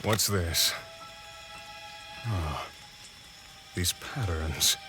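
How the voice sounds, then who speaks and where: A man voicing an authoritative, measured captain of forest guardians in a fantasy audio drama. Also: low voice, music in the background. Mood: grave, puzzled. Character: commanding male captain of elven forest guardians.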